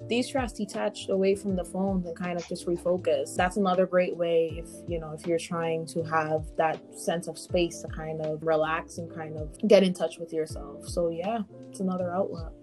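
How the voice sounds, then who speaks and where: A young woman from Guyana co-hosting a casual podcast chat, speaking in a relaxed, reflective way with many fillers. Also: lowish voice, moderate pace, hardly any pauses, faint music behind. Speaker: podcast co-host.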